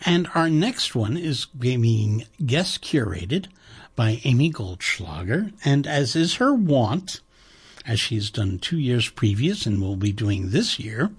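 A man hosting a radio talk show, chatting in a rambling, enthusiastic way and making a pledge-drive pitch from the studio. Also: sing-song, slow pace, breathy edge.

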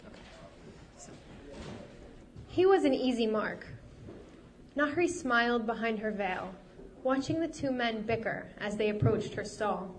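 A woman author reading her novel aloud at a live event, steady and expressive, her voice a little off while she gets over a cold. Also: on the high side, measured pace, pauses often, a little hiss or hum. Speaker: fantasy author.

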